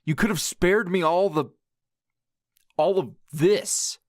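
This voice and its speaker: A man playing a grouchy, wounded anime hero in a dramatic ASMR roleplay, sardonic and halting in manner. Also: high voice, dry recording, studio quality. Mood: bitter, sarcastic. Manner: sardonic, halting, emotionally raw.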